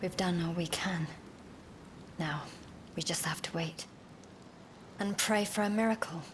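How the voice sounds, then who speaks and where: An actress playing a calm, steady healer in a dramatic video game. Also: female voice, fairly low pitch, unhurried, natural voice. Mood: calm, somber. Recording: background noise and ambience.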